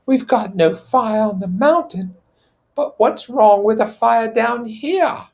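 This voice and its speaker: Storybook boy leader, voiced by a man: troubled, plain-spoken, pitched higher, trying to keep order. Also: takes it slowly, creaky, darkish tone, heavily acted. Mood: resolute. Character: Ralph, troubled schoolboy leader trying to organize. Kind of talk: character voice in novel read-aloud.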